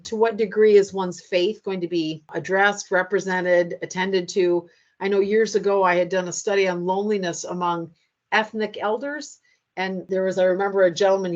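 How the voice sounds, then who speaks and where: A middle-aged American woman giving an online training lecture in a measured, explanatory way, speaking directly to her audience. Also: mid-range voice, dull audio. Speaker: lecturer on loneliness in later life.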